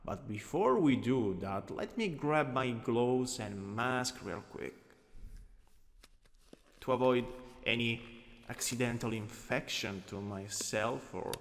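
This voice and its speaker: A man with an Italian accent playing a gently dominant, doting anatomy teacher in a scripted ASMR roleplay, coaxing and instructing. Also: medium pitch, animated, takes it slowly. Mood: lecturing, composed. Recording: long reverberant hall echo.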